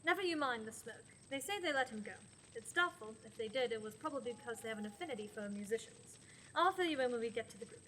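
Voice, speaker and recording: A sardonic witch acted by a young woman in an amateur fantasy audio drama. Her voice sits fairly high, breezily ruthless and menacing, over some background noise. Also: lively intonation, fast talker, sharp tone. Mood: brisk, defiant. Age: young adult. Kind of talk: amateur fantasy radio play.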